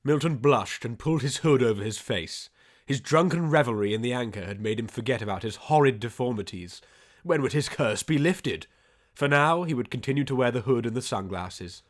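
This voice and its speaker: Male narrator for comedy audiobook: medium-pitched, scripted, deadpan storyteller. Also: a little fast.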